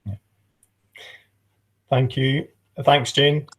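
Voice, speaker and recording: A man's voice: medium pitch, flat delivery, extremely slow, slightly rough. A man hosting a video call, politely handing turns to participants. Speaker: host, moderator.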